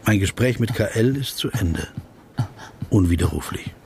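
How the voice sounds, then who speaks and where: An actor playing an observant, reflective philosopher narrating a radio play in a measured, introspective way. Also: male voice, fairly low pitch, expressive, a little mellow, slight background noise, natural voice. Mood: hushed wonder.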